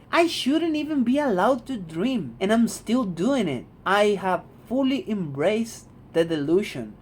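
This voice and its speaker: A man's voice: a little high, slight background noise. Blunt young Argentine man. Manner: blunt, repeats "man", swears freely. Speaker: vlogger. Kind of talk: casual talking-head monologue. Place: home room.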